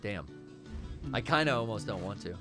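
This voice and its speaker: A man playing a game on stream, animated and casually profane as he reacts to what happens. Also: lowish voice, moderate pace, over music. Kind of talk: casual gaming chat.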